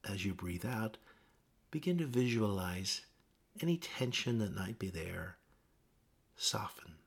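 Male meditation guide, low-pitched, husky, gentle, measured, pausing between phrases. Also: sing-song. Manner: gentle, measured instruction with pauses.

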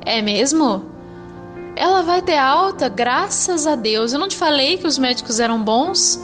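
Actress doing a caring young brazilian student girl, high voice, reassuring and casual, faint music under it. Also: expressive, unhurried, a bit bright. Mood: relieved. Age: young adult. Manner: reassuring, colloquial. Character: caring young female student comforting her friend. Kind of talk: naturalistic audio drama acting.

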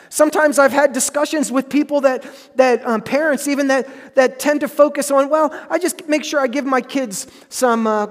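A man preaching a sermon as pastor, earnest and emphatic, repeating phrases for stress. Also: very high voice. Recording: preached in a hall with long reverb.

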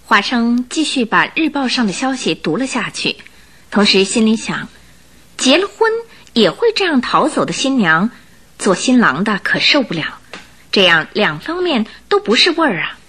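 A woman narrating a radio drama in an expressive, measured storytelling manner. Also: a little high, slightly rough. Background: music.

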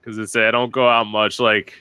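Young Florida man, podcast host, bright and sassy, close dry recording. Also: fairly low pitch, little pitch movement, a little fast, smooth, no echo. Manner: chatty, lots of "like", sassy. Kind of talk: casual chat.

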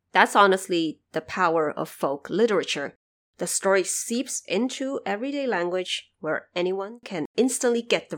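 Lower-pitched woman explaining, informal and wry. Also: a bit bright. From China. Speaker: podcast host. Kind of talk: explanatory podcast commentary on folklore.

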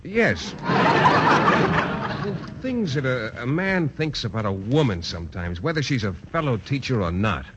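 An actor playing a shy, bashful biology teacher who stammers and hesitates, in a 1940s radio sitcom. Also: male voice, medium pitch, big pitch swings, unhurried. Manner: hesitant, stammering, formal wordy. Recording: dull old radio broadcast with faint hiss.

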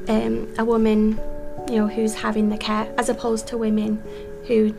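A woman's voice: fairly high pitch, monotone, normal speed, creaky, music in the background. A woman, a midwife guest, speaking earnestly with frequent ums. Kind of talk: interview conversation.

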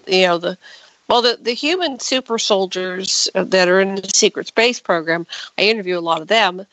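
A woman in her sixties hosting a radio interview, chatty and quick to jump in. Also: mid-range voice, fairly bright tone, no echo, low-quality recording. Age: 60s. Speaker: radio host.

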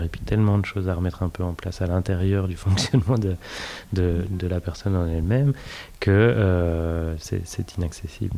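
A man with a very low, warm and mellow voice explains things in a measured way during a conversation. He hesitates often, filling pauses as he works through his points. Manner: measured, explanatory, full of fillers. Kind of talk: casual conversation.

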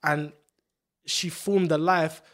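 A young man talks in a casual chat. His voice sits fairly high for a man. He is earnest and reflective, and he often trails off and restarts. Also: fairly even intonation, slow, fairly bright tone. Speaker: podcast guest.

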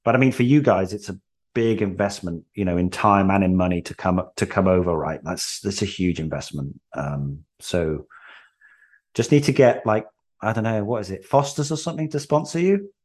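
Lower-pitched male guest from Wales, loose rambling chat, jokey asides. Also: normal speed, darkish tone. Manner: chatty, rambling, many ums and restarts, jokey. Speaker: podcast guest. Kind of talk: casual chat.

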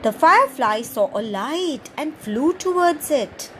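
A woman hosting a children's storytime podcast, reading a picture book aloud in an animated, sing-song way and adding playful sound effects. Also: sits high, slow, slight background noise.

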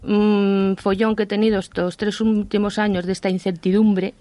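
A woman's voice: mid-range voice, flat delivery, moderate pace, dark tone, no room sound. A woman from Madrid with a Madrid accent, a guest author chatting in a radio interview and acting out the voices she quotes. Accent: Madrid Spanish. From Madrid, Spain.

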